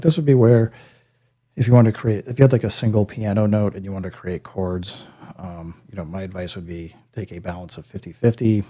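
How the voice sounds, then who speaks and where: A man hosting a casual hands-on music gear tutorial, thinking aloud in a hesitant, self-deprecating way. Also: mellow. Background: synth pad and bass sample demo sounds.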